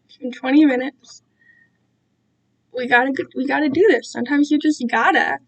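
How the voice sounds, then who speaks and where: A woman's voice: high voice, steady tone, normal speed, rough recording. A young woman livestreamer chatting casually, digressive and slangy, with self-deprecating asides. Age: young adult. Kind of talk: casual rambling chat to livestream viewers. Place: alone in own room.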